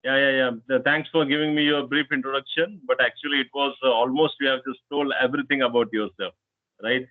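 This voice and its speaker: A man's voice: medium pitch, steady tone, brisk, dry recording. A man hosting a casual English practice chat, talking hesitantly with many fillers and tag questions. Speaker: channel host, conversation partner. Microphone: online call. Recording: tinny dry phone-like call line.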